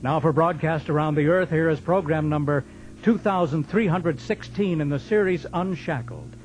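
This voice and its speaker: A man's voice: on the high side, normal speed, soft background music, poor audio. A man with an American accent reading scripted narration as the announcer of a radio drama.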